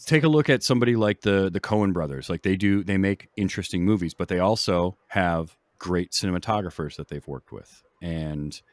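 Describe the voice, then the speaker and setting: A man chatting casually about films as a podcast panelist, thoughtful and measured, building his points from examples. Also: low-pitched, no echo, clean studio sound. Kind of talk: casual film discussion.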